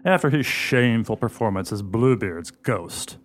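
A man playing a stiff, disapproving doctor in a radio play, speaking with slow indignation. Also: fairly low pitch, lively intonation. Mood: indignant. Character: stiff, disapproving doctor disowning his nephew.

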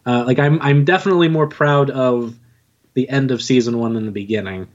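A man's voice: medium pitch, fairly quick. A man chatting casually as a podcast guest, recorded on a dull home-studio microphone. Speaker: podcast guest, audio drama writer. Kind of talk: casual chat. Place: home studio in an old house.

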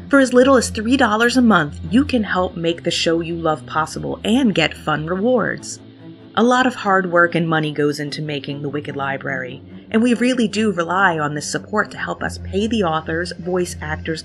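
Low-pitched woman hosting a podcast. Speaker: podcast host. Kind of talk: scripted podcast introduction. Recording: music bed behind the voice. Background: background music.

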